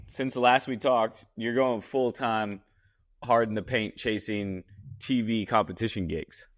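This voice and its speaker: A man's voice: lowish voice, unhurried. A man hosting a podcast, a chef talking in a blunt, casual way that is full of swearing and repeated words. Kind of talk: casual chat. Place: Los Angeles.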